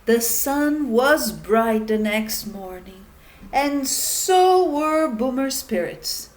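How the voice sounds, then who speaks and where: A woman teacher reading a children's story aloud in a deliberate manner, speaking directly to young listeners. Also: a little high, expressive. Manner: deliberate, addressing children with questions.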